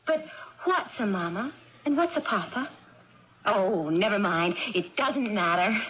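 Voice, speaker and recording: An actress playing a lively, headstrong young woman with amnesia, naive and blithe, in a radio drama. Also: medium pitch, measured pace. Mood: blithe, puzzled. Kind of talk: 1980s radio drama acting. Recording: dull, hissy old radio broadcast recording.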